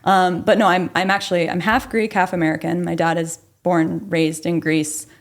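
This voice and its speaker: A young woman with a lowish voice and a lot of gravelly fry speaks as a podcast guest. She grew up abroad and talks in a friendly, articulate, conversational way, dropping in "like" often. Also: little pitch movement, normal speed. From grew up abroad, Kuwait, Philippines, UAE. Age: young adult. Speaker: guest, marketing director. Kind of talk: casual interview chat.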